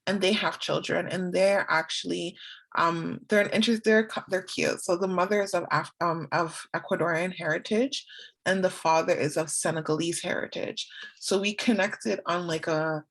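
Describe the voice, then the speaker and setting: A woman's voice: fairly low pitch, steady tone, moderate pace, fairly bright tone. A young woman, chatting as a guest in a casual interview, laughing often and acting out her own quotes. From Canada.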